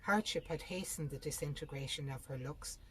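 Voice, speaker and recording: A woman reads a literary story in a low-pitched voice. She works from a script as narrator. A little background noise sits under the recording. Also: moderate pace, a bit bright, low-quality recording. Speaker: audiobook reader.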